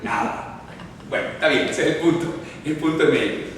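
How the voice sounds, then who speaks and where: A man with a Chilean accent teaches a classroom, explaining points and checking in with his listeners. His voice sits high for a man. The recording is from far off in a large echoey hall with a long reverb tail. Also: lively intonation, normal speed, gravelly, slight background noise. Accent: Chilean Spanish. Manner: explanatory, checks in often with the audience, chatty asides. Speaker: orthodontics lecturer. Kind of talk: live classroom lecture with audience questions. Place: lecture hall.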